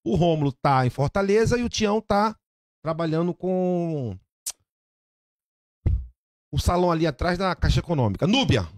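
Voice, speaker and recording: A man from Brazil, a barber and podcast guest, telling his story in lively casual chat, repeating words and acting out quoted dialogue. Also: a little high, normal speed, pauses often, no room sound, clean studio sound.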